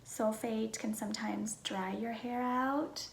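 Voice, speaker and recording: A young woman vlogger with a Vietnamese accent talks casually about herself in a high, breathy, soft voice. She takes her time between phrases, speaking at a slow pace. The recording sounds roomy and echoey. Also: fairly even intonation. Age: young adult. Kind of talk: casual personal Q&A to viewers. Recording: roomy echo, faint background noise.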